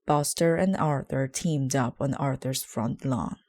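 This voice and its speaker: A woman narrating a children's book aloud in a measured, clearly phrased way. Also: extremely low pitch, darkish tone. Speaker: storybook reader.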